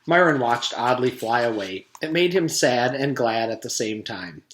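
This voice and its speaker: A man's voice: mid-range voice, expressive, normal speed, fairly bright tone, slight background noise. Man reading aloud in an echoey room. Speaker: teacher reading aloud to students. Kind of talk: children's storybook read-aloud.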